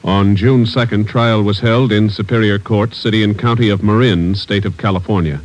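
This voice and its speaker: A man's voice: on the low side, fairly even intonation, faint music behind, low-quality recording. Formal 1940s radio announcer, man. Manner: formal, measured.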